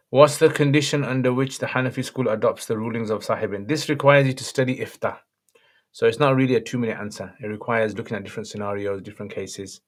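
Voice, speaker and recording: Croaky-voiced man answering questions. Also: medium pitch, fairly even intonation, a little fast. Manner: brief, matter-of-fact answers. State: slightly croaky voice. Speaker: Islamic scholar answering viewer questions. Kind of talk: livestream Q&A, reading out questions.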